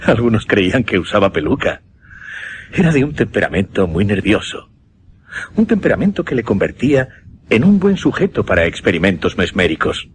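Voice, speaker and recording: Deep-voiced, earnest gentleman mesmerist. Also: very animated, slight background noise. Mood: reflective, measured. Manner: formal, deliberate, measured with dramatic pauses. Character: earnest gentleman mesmerist recounting his uncanny experiment. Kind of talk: Spanish suspense radio drama acting.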